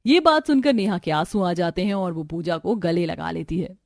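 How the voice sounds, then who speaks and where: A woman's voice: fairly low pitch, normal speed, a little mellow, faint music behind. A woman giving scripted narration for a cartoon family story.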